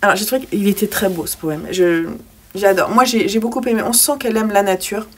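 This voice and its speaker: A young woman chats about a book she has read, in a loose and hesitant way, with frequent fillers and restarts. Her pitch sits in the middle of the range. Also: fairly quick, breathy edge. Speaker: book vlogger. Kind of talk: casual book review with read-aloud poems.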